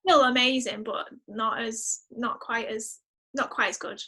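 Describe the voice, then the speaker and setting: Female podcast guest, bright and fairly high voice, chatty, expressive delivery. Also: unhurried. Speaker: musician. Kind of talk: casual, chatty interview answers.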